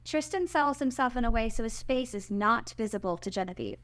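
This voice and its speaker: A woman with a high-pitched voice narrates a screenplay. She reads stage directions and scene headings as scripted narration. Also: expressive, a little fast, a bit bright. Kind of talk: scripted narration at a live screenplay table read.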